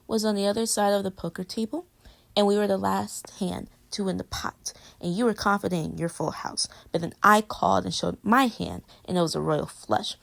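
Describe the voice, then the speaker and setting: Woman acting a creaky-voiced, playful clingy girlfriend. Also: medium pitch, close to an everyday voice. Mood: playful, curious. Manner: teasing, rambling, swinging from murmurs to exclamations. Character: playful clingy young girlfriend, teasing and affectionate. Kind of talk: intimate girlfriend roleplay audio, pillow talk.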